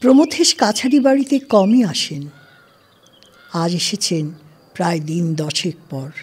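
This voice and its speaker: A woman narrating a literary story from a script, with measured, formal phrasing. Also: fairly low pitch, a little mellow, breathy edge.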